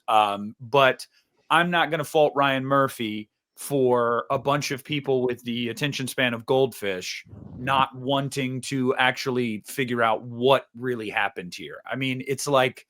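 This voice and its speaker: A man's voice: medium pitch, fairly bright tone. A young American man co-hosting a podcast, arguing his opinion in an emphatic, informal way. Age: young adult. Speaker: podcast co-host.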